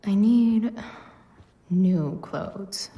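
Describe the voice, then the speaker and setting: A woman's voice: mid-range voice, very slow, mellow, recorded from a distance. A young woman acting a sardonic, moody teenage girl, muttering inner thoughts dryly in a video game. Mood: muttering, resolved. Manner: muttering inner monologue, dry.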